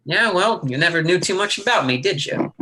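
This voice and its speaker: A man acts out a streetwise drifter brother in a stage drama. His voice is bright and forceful, with terse, slangy, needling lines that carry a sly menace. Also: sits high, lively intonation, a little fast, a little hiss or hum, rough recording. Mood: needling, defiant. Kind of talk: play reading, stage drama dialogue.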